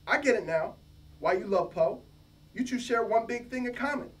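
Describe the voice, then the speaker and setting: A man's voice: extremely high pitch, expressive, moderate pace. Man playing a brash, swaggering American professor, far-off mic. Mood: mocking. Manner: swaggering, emphatic, casual street slang. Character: brash, slangy male hip-hop professor, confrontational. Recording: distant mic, faint room noise.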